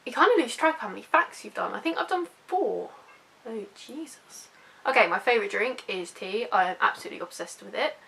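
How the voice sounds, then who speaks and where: Bright-voiced young British woman chatting. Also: on the high side, animated, fairly quick, creaky. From United Kingdom. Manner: informal, rambling, self-deprecating. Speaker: YouTube vlogger. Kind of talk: casual personal chat to camera.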